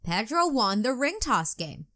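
Woman, children's read-aloud narrator, slow deliberate delivery, higher-pitched voice, clear enunciation. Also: very animated, a bit bright. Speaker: children's storybook reader.

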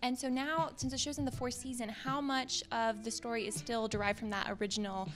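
A woman's voice: fairly high pitch, level tone, brisk, a bit bright. A woman interviewer asking prepared questions in an upbeat, eager way at an indoor press event, speaking into a handheld mic.

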